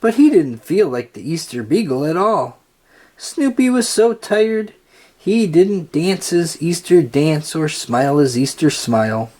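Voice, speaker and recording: Children's storybook narration, unhurried, expressive, swooping intonation, mid-range pitch. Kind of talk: children's storybook read-aloud.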